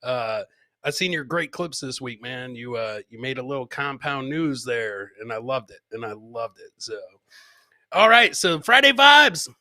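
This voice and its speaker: Male comedy podcast host: bright, punchy voice, dry sound, casual off-the-cuff commentary with repeated phrases. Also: medium pitch, big pitch swings, a little slow, no echo. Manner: loose, repeats his phrases, interjects. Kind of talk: casual live commentary on viral clips.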